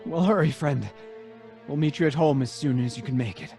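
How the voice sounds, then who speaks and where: An actor playing an anxious, pleading male friend in old time radio melodrama, husky, halting and emphatic. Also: fairly high pitch, a little mellow, soft background music, close to an everyday voice. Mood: urgent pleading.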